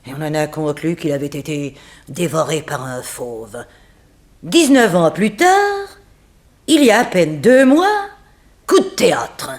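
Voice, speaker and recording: A woman playing a composed, unsentimental figure in a 1960s French radio mystery, telling things dramatically with weighted pauses. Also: low voice, very animated. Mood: dramatic. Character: composed, unsentimental woman recounting a family tragedy. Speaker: actress in a radio serial.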